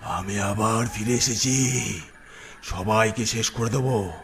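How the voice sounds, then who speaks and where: A man's voice: lowish voice, slow, slight background noise. A man voicing the vengeful ghost of a dead occult sorcerer in a horror cartoon, speaking with menace. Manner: menacing, threatening. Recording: music behind the voice.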